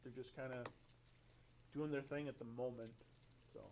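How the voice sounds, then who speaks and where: A man's voice: medium pitch, fairly even intonation, normal speed, darkish tone, dull audio, slight background noise. Laid-back man running a tabletop game. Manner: easygoing, laughs often, describes scenes loosely. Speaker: dungeon master.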